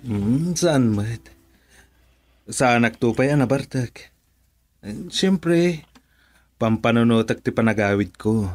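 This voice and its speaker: Jovial husband a few drinks in, Ilocano accent, unhurried drawl, radio drama. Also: male voice, medium pitch, animated, a little mellow. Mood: tipsy, casual. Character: easygoing, tipsy husband home late from drinking.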